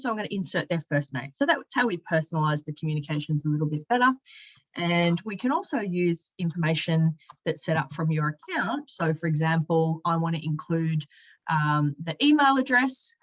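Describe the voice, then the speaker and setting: A woman with a fairly low voice presents a software tutorial in a conversational way. She thinks aloud and explains each step as she goes. The recording is a little dull and lo-fi. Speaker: software demo presenter, sales and marketing director. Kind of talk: conversational screen-share product tutorial.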